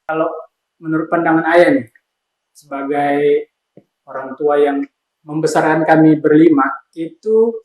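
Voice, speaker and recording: A man in his thirties with an Indonesian accent, hosting a casual interview with his father, brisk and agreeable with short affirmations. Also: on the high side, darkish tone, echoey room, poor audio. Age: 30s.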